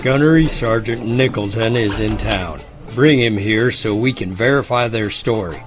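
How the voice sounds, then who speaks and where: A man's voice: on the low side, normal speed, close to an everyday voice. A man acting as a firm, courteous, official-sounding army sergeant in an audio drama. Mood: official, respectful. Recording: music bed behind.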